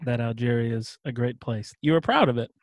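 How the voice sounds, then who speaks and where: A man's voice: mid-range voice, a little fast, no echo, clean studio sound. A man interviewing someone in a casual conversation, probing with follow-up questions.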